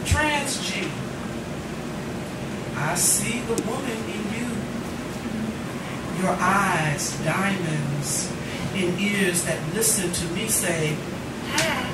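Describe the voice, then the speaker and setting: Slow, echoey woman reading poetry. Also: medium pitch, expressive, recorded from a distance. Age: middle-aged. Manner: deliberate, dramatic phrasing with pauses. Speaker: poet, featured reader. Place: indoor cafe reading. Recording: noisy, echoey room recorded from afar.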